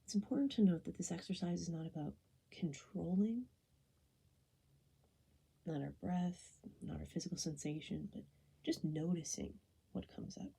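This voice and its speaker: A very husky, breathy woman leads a guided body scan. Her soft voice is very dark and mellow, with a gravelly creak at the edges. She gives gentle, unhurried instructions that often sink toward a whisper. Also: lowish voice, low-quality recording. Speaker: meditation guide.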